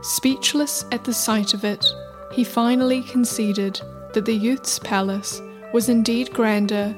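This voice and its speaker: A woman storyteller with a slightly high voice narrates a folktale. Her delivery is measured and literary, with music playing softly underneath. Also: fairly even intonation.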